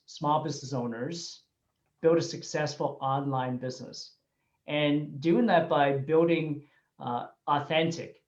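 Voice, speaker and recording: Upbeat host in an echoey, muffled room. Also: male voice, fairly high pitch. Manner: upbeat, addresses viewers directly, repeats words. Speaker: host of a Facebook Live show. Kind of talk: casual livestream interview. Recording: echoey, muffled webcam audio.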